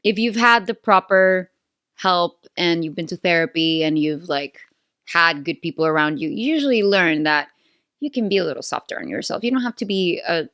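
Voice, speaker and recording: A woman's voice: on the low side, animated, moderate pace, fairly bright tone, no room sound, studio quality. A woman in her thirties from Venezuela, a native Spanish speaker with a Venezuelan accent, hosting her own podcast in playful, chatty, self-aware talk. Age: 30s. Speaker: podcast host. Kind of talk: casual solo podcast chat.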